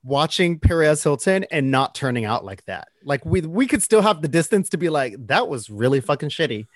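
A man's voice: a little high, very animated, a little fast, no room sound. A man casually talking through pop culture gossip, slangy, blunt and opinionated.

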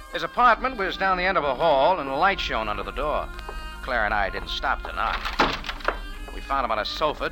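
An actor playing a tough, sardonic American cafe owner and amateur sleuth in 1940s radio noir style. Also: male voice, mid-range voice, close to an everyday voice, poor audio. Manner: hardboiled, sardonic, dry. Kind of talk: 1940s radio noir acting and first-person narration. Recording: old radio recording with music behind.

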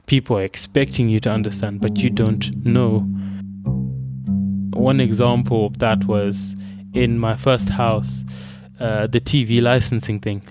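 A man's voice: on the low side, steady tone, a little slow, over loud music. A young man from Zimbabwe with a Zimbabwean accent, thoughtfully recounting his own experiences and often restarting his phrases. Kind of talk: reflective personal account.

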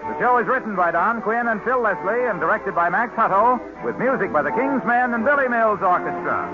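A man's voice: sits high, expressive, fairly quick, lo-fi sound, music in the background. An American man announcing an old-time radio show, with a polished salesman's delivery in vintage broadcast style. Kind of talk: 1940s-50s radio announcing and sponsor pitch.

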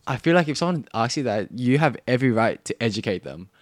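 A man's voice: medium pitch, very animated, fast, dry recording, studio quality. A young Australian man co-hosting a podcast, chatting casually and rambling with lots of fillers. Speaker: podcast co-host. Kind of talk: casual chat.

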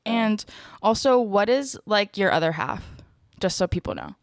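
A woman's voice: mid-range voice, sing-song, moderate pace, gravelly, no room sound, clean studio sound. A woman interviewing a guest, asking curious, meandering questions with many fillers.

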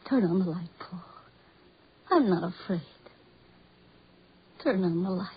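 An actress playing a tender, devoted blind woman, earnest and melodramatic in 1940s radio drama style, with a husky voice. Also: fairly low pitch, expressive, measured pace, heavily acted. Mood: pleading, loving. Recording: muffled old 1940s radio recording.

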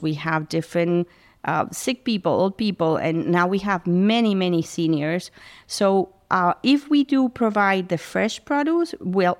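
A middle-aged woman from Spain, a food pantry founder chatting as a guest in a halting, restarting way. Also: lowish voice. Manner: warm, halting, many restarts. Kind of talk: casual interview talk.

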